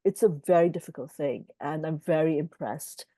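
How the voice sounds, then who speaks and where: A middle-aged woman speaks in a low, mellow voice, answering questions with thoughtful, earnest reflection. She is a publisher talking over a video call. The sound is dry and close. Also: moderate pace, dry recording.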